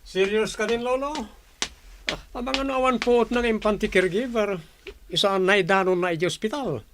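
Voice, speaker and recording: Radio drama grandson, a man acting: cheeky, very high pitch, slightly noisy recording. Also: brisk, fairly bright tone. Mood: questioning.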